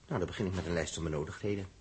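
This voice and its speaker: A man's voice: low-pitched, rapid-fire, darkish tone, breathy edge. An actor playing an irritable, sarcastic space crewman with an inventive streak, grumbling and thinking aloud in a 1960s Dutch radio drama. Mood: brisk, teasing. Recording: noisy 1960s radio recording.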